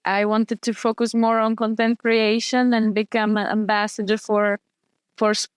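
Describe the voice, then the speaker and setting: Young woman guest, smooth clear voice, dry close sound, halting delivery. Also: on the high side, little pitch movement, no echo. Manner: hesitant, many restarts and fillers. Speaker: guest, wing foil and kiteboard athlete. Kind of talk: casual interview answers.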